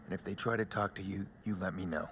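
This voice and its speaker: An actor playing a grim, guarded vigilante hacker in a video game, gravelly and terse. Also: male voice, fast, a bit bright, a little hiss or hum. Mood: coaxing. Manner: terse, low-key. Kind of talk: cinematic video game acting.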